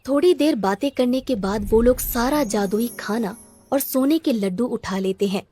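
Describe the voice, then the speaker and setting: A woman narrator reads a scripted children's story. Her voice sits fairly high, and music plays behind her. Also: normal speed, mellow.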